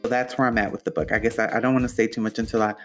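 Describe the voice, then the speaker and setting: A man's voice: fairly low pitch, little pitch movement, rapid-fire. A young man hosting his own podcast, talking casually with lots of fillers and restarts in a soothing voice. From Puerto Rico. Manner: rambling, chatty, many fillers and restarts. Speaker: podcast host. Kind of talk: casual solo podcast talk.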